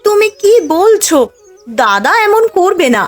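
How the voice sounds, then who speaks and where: High-voiced scolding village wife played by a woman. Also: big pitch swings, takes it slowly, soft background music, heavily acted. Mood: reproachful. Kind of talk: cartoon fairy-tale voice acting.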